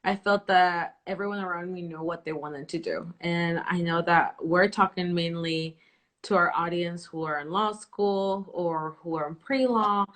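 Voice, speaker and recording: A young adult woman from El Salvador, whose first language is Spanish, hosting an interview with soft-spoken, thoughtful questions and frequent pauses. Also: on the low side. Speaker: host. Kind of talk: interview host.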